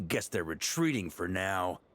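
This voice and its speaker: An actor playing a bold, hearty, battle-hardened knight commander in a video game, boisterous and commanding. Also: male voice, low-pitched, sing-song, unhurried, fairly bright tone, slight background noise, heavily acted. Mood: relieved. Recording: music behind voice.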